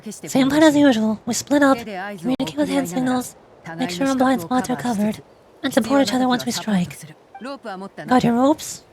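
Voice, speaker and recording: Earnest stubborn young tribe girl, streamer lady reading her lines, high but a bit softer. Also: normal speed, pauses often, crowd noise, close to an everyday voice, poor audio. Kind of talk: fan reading of game dialogue.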